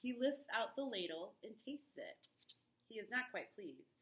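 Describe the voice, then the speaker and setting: A woman's voice: a little high, expressive, a little slow, a bit bright. A woman teacher reading a play aloud to students, with explanatory asides and frequent check-ins. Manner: checks in often with the listener. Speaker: English teacher.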